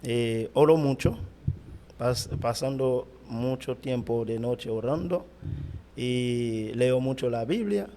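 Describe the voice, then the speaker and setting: A middle-aged Haitian man, a pastor whose first language is Haitian Creole, speaking Spanish haltingly with a Haitian accent in an interview. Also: mid-range voice, mellow. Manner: halting, searching for words in Spanish. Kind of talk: interview answers.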